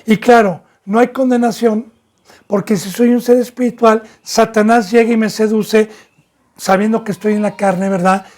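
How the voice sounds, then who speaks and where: A man from Mexico preaching a fervent sermon as pastor, swinging between loud and soft and sometimes stumbling over his words. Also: high-pitched, steady tone, moderate pace.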